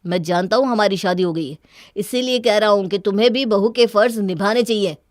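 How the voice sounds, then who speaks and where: A woman's voice: mid-range voice, fairly quick, dry recording, clean studio sound, natural voice. A woman voicing an earnest, exasperated young husband in a cartoon story, reasoning and reproachful. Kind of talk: Hindi cartoon story dialogue.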